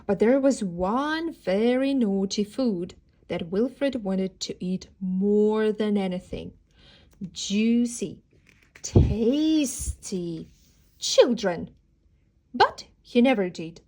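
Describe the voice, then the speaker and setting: A woman's voice: mid-range voice, warm tone. A woman narrating a children's storybook as a teacher would, expressively, with dramatic emphasis on key words. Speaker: teacher reading aloud.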